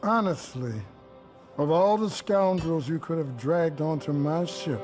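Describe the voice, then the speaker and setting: A man's voice: a little high, unhurried, a little mellow, faint music behind, natural voice. An actor playing a wary, disapproving male ship captain in video game dialogue, stern and cautioning.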